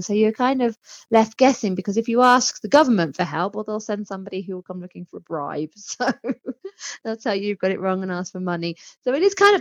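A woman's voice: medium pitch, dry recording, muffled recording. A woman speaking as an interview guest about her own work in a chatty, informal, self-deprecating way. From outside Mexico, not American. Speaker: interview guest, small restaurant and shop owner. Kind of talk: conversational interview.

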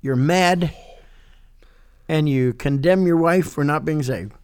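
A man's voice: a little high, takes it slowly, darkish tone, clean tone, dry recording, clean studio sound. A man co-hosting a casual interview chat. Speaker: podcast co-host.

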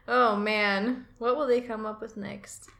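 A woman's voice: fairly high pitch, slow pace, fairly bright tone. A woman co-hosting a podcast in casual chat, talkative and laughing easily. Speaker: podcast co-host.

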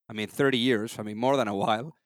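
A man's voice: medium pitch, fast, no room sound, clean studio sound. A man hosting a business interview podcast, asking loose, conversational questions with many fillers. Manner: relaxed, filler-heavy questions. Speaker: podcast host. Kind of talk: casual interview, reads sponsor ad.

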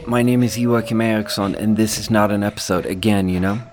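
A man's voice: lowish voice, fairly even intonation, fairly quick. A man hosting a casual solo podcast, talking in a rambling way, correcting himself and chuckling now and then, close to the mic in a small home studio. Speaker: podcast host.

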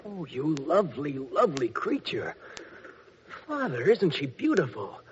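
A tender young fairy-tale prince voiced by a male actor, somewhat high for a man and very expressive. He speaks with earnest stage-play devotion. The sound is dull, with faint noise. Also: unhurried. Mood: awed. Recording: dull, slightly noisy recording.

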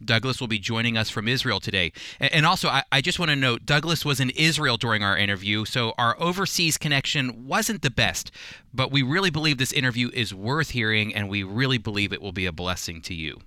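A man's voice: medium pitch, lively intonation, brisk, pushed and bright, no echo, studio quality. A man hosting a faith-based radio show, earnest and conversational, often restarting his sentences as he introduces and interviews a guest. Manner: earnest, with frequent restarts and fillers. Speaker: radio show host and teacher.

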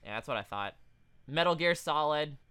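A man's voice: fairly high pitch, animated, normal speed, pushed and bright, clear, resonant tone, dry recording. A man co-hosting a podcast in casual chat, reading out a list with blunt, sweary asides. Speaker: podcast co-host.

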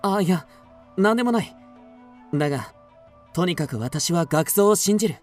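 A man playing an earnest, gentle but resolute young samurai in a period radio drama, speaking formally in courteous archaic phrases. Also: high voice, lively intonation, normal speed, soft background music. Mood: resolute, tender.

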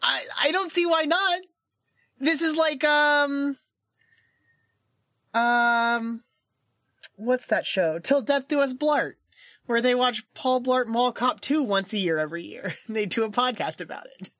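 A woman's voice: fairly high pitch, expressive, a little slow, pauses often, a bit bright, dry recording. A woman co-hosting a podcast in casual chat, rambling with lots of "like"s and laughing often. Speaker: podcast co-host and editor. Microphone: home podcast mic.

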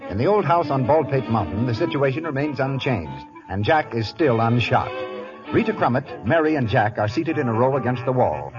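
A male announcer reads in a smooth, formal way, his voice rising and falling with emphasis. The sound is dull and narrow, like a 1930s radio broadcast, with faint music underneath. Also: mid-range voice, sing-song, normal speed.

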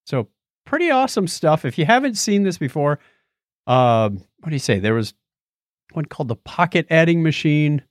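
A man's voice: on the high side, big pitch swings, normal speed, no echo. Middle-aged man chatting, close dry sound. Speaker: podcast co-host. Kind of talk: casual chat about old gadgets.

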